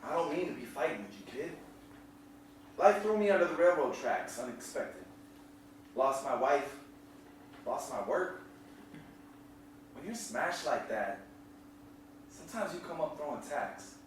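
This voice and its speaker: A man playing a bitter, quick-tempered rural father in a stage drama, terse and rustic. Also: fairly high pitch, expressive. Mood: regretful, bitter. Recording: echoey hall, far from mic, faint noise.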